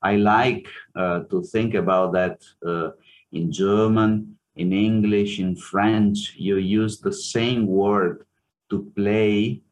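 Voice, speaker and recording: Italian pianist in interview, deep voice, slow and searching, heavy fillers. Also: male voice, fairly even intonation. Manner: hesitant, many fillers and restarts. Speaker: pianist and music professor, interview guest. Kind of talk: reflective interview answers.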